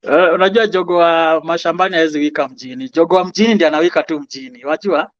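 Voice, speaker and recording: A Kenyan man from Nairobi answering interview questions in a calm, humble, soft-spoken way. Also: on the high side, quick pace, no echo, dull audio. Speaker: guest.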